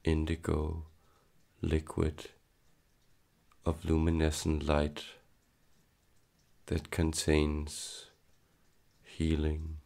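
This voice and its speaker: An extremely low-voiced man guides a meditation at a very slow pace. His delivery is solemn and hypnotic, broken into short phrases with a steady, nearly monotone line. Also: vocal fry. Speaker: channeler leading a guided meditation.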